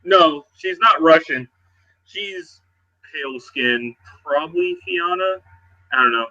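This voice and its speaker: A man narrating and improvising in character as a role-playing game player, in a halting, conversational way. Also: on the high side, pushed and bright, lo-fi sound.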